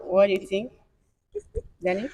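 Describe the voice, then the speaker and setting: A woman's voice: medium pitch, fairly even intonation, unhurried, heavy background noise. A woman from Nigeria hosting a casual discussion, talking with many hesitant fillers. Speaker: host.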